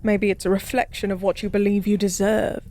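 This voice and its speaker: A woman playing a forgotten goddess as a tipsy pub stranger in an intimate audio roleplay, speaking softly in slow, wistful philosophical rambles. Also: mid-range voice, flat delivery, natural voice. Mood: curious, playful. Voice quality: soft. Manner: slow, musing, rambling, teasingly cryptic.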